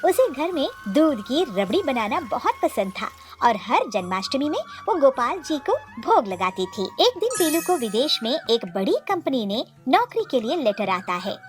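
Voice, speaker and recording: A woman narrates a scripted children's story. Her voice is very high and animated, moving freely up and down, with faint music behind her. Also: normal speed, hardly any pauses.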